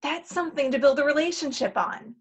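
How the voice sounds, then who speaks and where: Actress as bossy scheming matchmaker, high voice, brisk, persuasive, encouraging, comic play reading. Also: female voice, close to an everyday voice. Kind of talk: play table read, comic dialogue.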